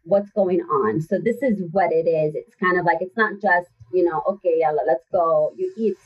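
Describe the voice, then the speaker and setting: A woman health coach chatting as a guest in an interview, lively and acting out the people she quotes. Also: on the low side, normal speed, husky. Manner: animated storyteller who acts out voices in quotes. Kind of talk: casual interview chat. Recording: distant, dull lo-fi sound.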